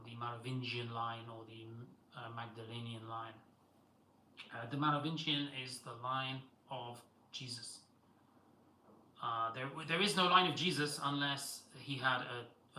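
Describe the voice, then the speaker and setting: A man talks loosely to his viewers on a live stream, wandering from thought to thought with lots of fillers. His voice is very bright and cutting. The room is echoey, with faint ambient noise behind him. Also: mid-range voice. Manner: rambling, many fillers and restarts, chats with viewers. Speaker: livestream host. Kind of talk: casual live-stream monologue.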